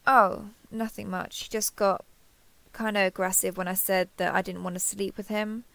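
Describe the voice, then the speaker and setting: Woman playing kindhearted awkward young woman, hesitant and earnest, creaky voice, close dry sound, intimate audio roleplay. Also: mid-range voice, flat delivery, moderate pace, a bit bright, no room sound.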